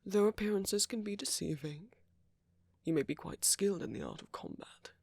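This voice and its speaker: A man playing a friendly, flirty vampire in an intimate ASMR roleplay, courteous and gently teasing, with a husky, murmuring voice close to the microphone. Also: sits high, very animated, moderate pace, no echo, exaggerated voice. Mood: flirty, reassuring. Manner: gently teasing, polite old-fashioned phrasing, soft chuckles. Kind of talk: ASMR roleplay, intimate one-sided dialogue to the listener.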